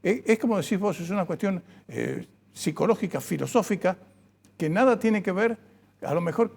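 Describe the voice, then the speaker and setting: A man's voice: high voice, lively intonation, normal speed, creaky, darkish tone, breathy edge. A man hosting a radio interview, talking in a reflective, conversational way. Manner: conversational, reflective, uses sayings. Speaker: host.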